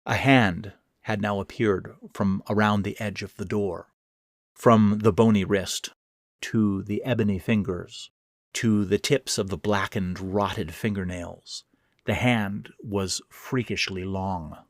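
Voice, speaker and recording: A man's voice: on the low side, fairly even intonation, takes it slowly, no room sound, clean studio sound. A man reading his own first-person horror fiction as narrator, in a dramatic, suspenseful way. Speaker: author and narrator.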